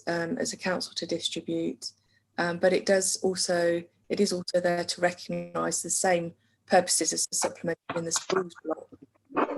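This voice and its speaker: Husky, gravelly woman briefing a meeting. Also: fairly low pitch, rough recording. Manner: measured, with frequent hesitation fillers. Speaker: council finance officer. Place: council meeting.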